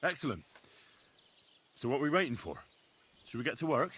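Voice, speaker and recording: An actor playing a young medieval blacksmith's helper in a video game, cheeky and loyal, with a dry, plain-spoken manner. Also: male voice, mid-range voice, big pitch swings, a little fast, fairly bright tone, breathy edge. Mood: wry. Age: young adult. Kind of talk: video game dialogue acting.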